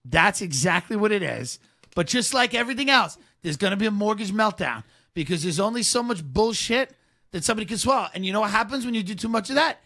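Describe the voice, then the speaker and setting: A man in his thirties hosting a comedy podcast, delivering sardonic, deadpan rants. His voice sits fairly high with a bright, forward edge. He riffs at length and lands the jokes dryly. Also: quick pace, vocal fry. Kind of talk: improvised comedy podcast banter.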